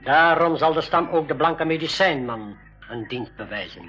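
An actor playing a solemn, dignified medicine man of a jungle tribe in a school radio drama, speaking in formal, measured phrases. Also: a little high, expressive, bright, forceful tone, breathy edge, exaggerated voice. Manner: formal, measured, third-person speech. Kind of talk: 1980s Dutch-language school radio drama acting. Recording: dull radio recording with music.

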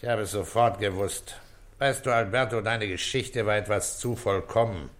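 A shrewd senior inspector played by a male actor speaks in a low voice, laying out his reasoning with deliberate authority. He sounds weary and paternal, in the manner of an old radio crime play. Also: a bit bright, lightly acted. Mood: calm, reasoning. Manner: deliberate, authoritative, explaining step by step. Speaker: police inspector. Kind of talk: old German radio crime drama acting.

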